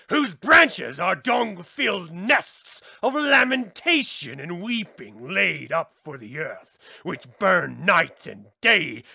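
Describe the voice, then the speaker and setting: A man gives a solemn, theatrical reading in a bright, forceful voice with a gravelly grain. He declaims each phrase slowly and deliberately, like an incantation. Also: high-pitched, no room sound. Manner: theatrical, declaiming, swelling from subdued to shouted. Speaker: reader of a book aloud. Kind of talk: dramatic incantatory reading aloud.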